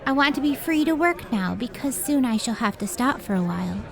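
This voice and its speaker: A woman's voice: high voice, expressive, fairly quick, some chatter behind. A woman playing a devoted, hard-working scientist wife in an old time radio drama. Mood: weary, fretful.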